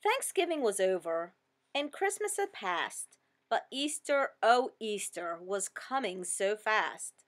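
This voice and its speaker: A woman reading a rhyming children's story aloud with expressive, sing-song delivery. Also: medium pitch, slow pace. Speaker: storybook reader.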